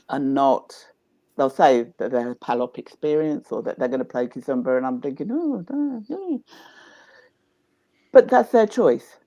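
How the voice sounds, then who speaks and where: A man's voice: medium pitch, warm tone, no room sound, dull audio. A man who runs dance events, speaking passionately and emphatically in a panel discussion. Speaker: event organiser.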